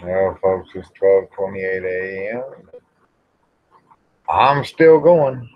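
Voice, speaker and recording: A man rambles casually to livestream viewers in a folksy, grumbling way, pausing often. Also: low-pitched, expressive, no room sound. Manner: folksy, grumbling, drawn-out with pauses. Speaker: livestream host. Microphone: small microphone near the face. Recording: dull, muffled livestream audio.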